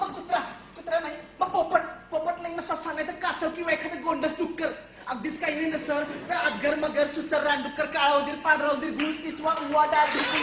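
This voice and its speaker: A woman playing a lonely male soldier in a comic stage play, excitable and rambling, stammering and repeating words. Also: high-pitched, heavy background noise, lightly acted. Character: jittery, lonely, talkative male soldier at a forgotten post. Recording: echoey stage recording with music.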